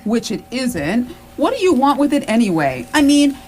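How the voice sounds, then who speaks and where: A woman's voice: high voice, very animated, moderate pace, darkish tone. A woman playing a sceptical, probing starship crew member in comic radio theatre. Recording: noisy live stage recording.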